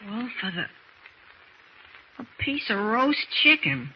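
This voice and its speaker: A man's voice: sits high, big pitch swings, slow, exaggerated voice. A young male actor playing an eager, wide-eyed boy sidekick in a 1940s-style radio serial. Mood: curious, eager. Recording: muffled old radio recording with hiss.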